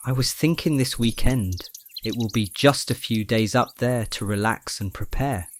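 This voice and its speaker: A man acting a gently teasing, bookish young roommate in an audio drama, with measured, slightly formal phrasing. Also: medium pitch, slight background noise, close to an everyday voice. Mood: calm, inviting.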